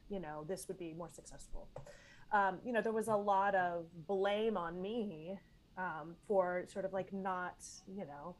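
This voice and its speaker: A woman's voice: mid-range voice, low-quality recording. A woman in her forties, originally from Texas, speaks as an interview guest. She tells her story conversationally, full of fillers and restarts, in a natural mid-range voice. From East Texas, US. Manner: chatty, lots of fillers and restarts. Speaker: guest, vocal coach and former Broadway performer.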